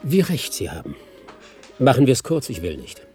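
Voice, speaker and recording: A sardonic, ill-humoured private detective of middle age in a radio drama. His pitch swings widely as he tosses out rude, weary wisecracks, with music playing behind him. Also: huge pitch swings, moderate pace, mellow. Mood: mocking. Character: jaded, ill-humoured hard-drinking male private detective. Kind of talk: German radio play acting. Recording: studio radio play with music.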